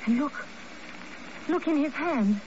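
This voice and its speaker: A woman playing a poised, duplicitous, coolly calculating spy in a 1950 radio drama, with dramatic, deliberate, formal phrasing. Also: high voice, animated. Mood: urgent. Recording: muffled, noisy old radio broadcast.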